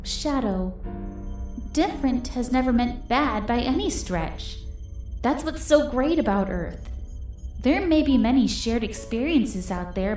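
A hopeful, gentle young girl , acted by a woman, speaks in a high, soft voice with wistful earnestness. It is animated comic dub acting, with noticeable echo and music underneath. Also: close to an everyday voice. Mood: reassuring. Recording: echoey voice under background music.

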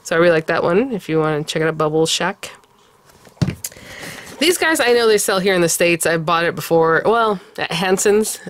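A woman with a fairly low voice rambles through a show-and-tell of her purchases, eager and chatty, full of little laughs and fillers. She sounds like she is talking at a home craft table. A faint hiss sits under the recording. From California. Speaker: craft and haul vlogger. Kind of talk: casual show-and-tell haul chat.

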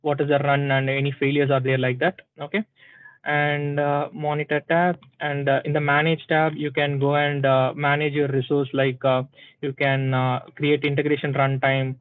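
A man presenting a live software tutorial at a tech community session, explaining step by step with many "uh"s and "okay"s and checking in with "right?". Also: fairly high pitch, little pitch movement, moderate pace, no echo. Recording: dry, thin phone-like sound.

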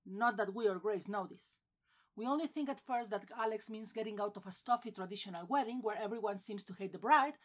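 A woman's voice: fairly high pitch, a bit bright. A woman delivering scripted film commentary as a video essayist, with emphatic delivery and wry asides.

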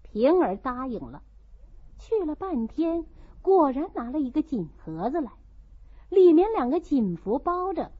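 A woman's voice: sits high, sing-song, unhurried. A woman reading a literary audiobook as its narrator. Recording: dull lo-fi audio.

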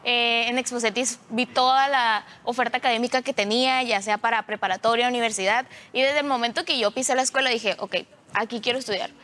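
A woman's voice: a little high, steady tone, fairly quick, a bit bright. A woman, speaking as a student guest in a live TV interview. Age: 20s. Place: indoor television studio.

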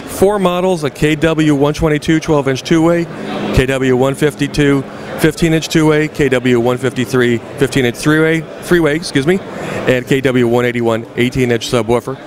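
A man's voice: mid-range voice, normal speed. A man in his forties with an American accent, giving a product demo to camera as a company presenter. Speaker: company product director presenting at a trade show. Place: large indoor trade show hall. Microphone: handheld microphone close to mouth. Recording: busy trade show crowd noise. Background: clear crowd bustle.

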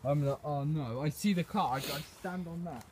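A teenage boy, a friend of the vlogger, telling a story in quick, animated banter outdoors. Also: a little high, crowd noise. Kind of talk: casual banter.

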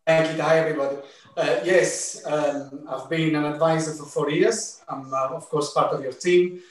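A man's voice: a little high, steady tone, moderate pace. A man in his forties from Italy, introducing himself from his home kitchen in a friendly way with many fillers. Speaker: cooking advisor, guest. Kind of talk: self-introduction on a video call. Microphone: camera at a distance. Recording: very echoey kitchen, far from the mic, light noise.

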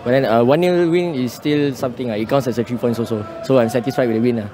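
A man's voice: mid-range voice, fast, over music. A young man with a Singaporean accent, a football fan answering casually and hesitantly into a handheld phone outdoors. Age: young adult. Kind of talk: casual post-match interview. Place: outdoor stadium entrance. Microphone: handheld phone held toward him.

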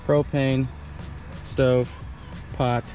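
A man in his thirties giving a relaxed, chatty step-by-step demonstration outdoors at a campsite under a canopy tent. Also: mid-range voice, extremely slow. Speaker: vlogger. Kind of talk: casual how-to demo. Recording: background music under voice. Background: music.

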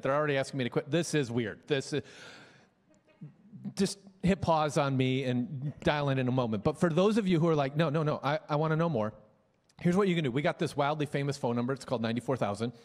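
Pastor guy from minnesota, fairly high voice, stumbles and repeats words, big echoey hall. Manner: conversational, many restarts and stammered repeats. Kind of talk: church announcements and guest introduction. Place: large church stage. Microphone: handheld mic. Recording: long hall reverb.

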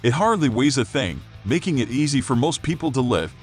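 A man reading a scripted product review voice-over in an upbeat sales-pitch manner. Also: very animated, brisk, soft background music. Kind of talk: scripted promotional voice-over narration.

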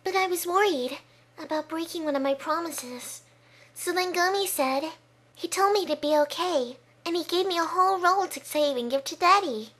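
An earnest, loyal little girl from a video game, played by a woman. Her voice is high and childlike, softly pleading. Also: normal speed. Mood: worried, sweet.

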